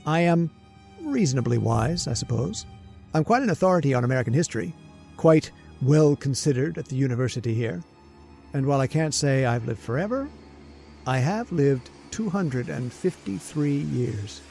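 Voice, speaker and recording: A man's voice: mid-range voice, expressive, moderate pace, creaky, a little mellow, soft background music. An actor playing a nervous, haunted man who narrates his story in an old-time radio horror drama, jittery and hesitant. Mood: wry, haunted. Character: nervous, haunted, centuries-old male professor confessing a murder plan.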